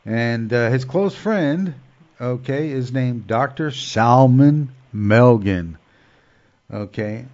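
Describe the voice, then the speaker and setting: A man's voice: mid-range voice, slow pace, a little mellow. A man with a cold and a stuffed-up nose, hosting a show in a rambling casual monologue full of fillers and restarts. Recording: dull lo-fi sound.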